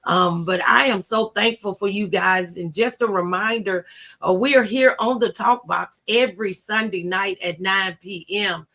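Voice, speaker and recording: A woman's voice: medium pitch, measured pace, a bit bright. A middle-aged woman hosting a talk show in a casual, conversational style.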